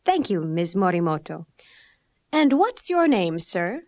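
A woman voicing a polite receptionist who takes names in a scripted textbook dialogue, speaking clearly and deliberately. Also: fairly low pitch, sing-song, a little mellow, dry recording, studio quality. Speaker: office receptionist.

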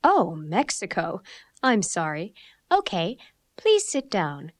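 A woman's voice: fairly low pitch, huge pitch swings, no room sound, heavily acted. Woman playing a polite, dry-voiced receptionist. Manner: clear and deliberate, polite. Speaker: office receptionist. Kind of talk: scripted textbook dialogue.

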